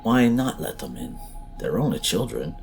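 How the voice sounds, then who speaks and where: A man's voice: medium pitch, big pitch swings, moderate pace, gravelly, soft background music. A man reading a scripted horror story as narrator, in a dramatic, suspenseful, emphatic way.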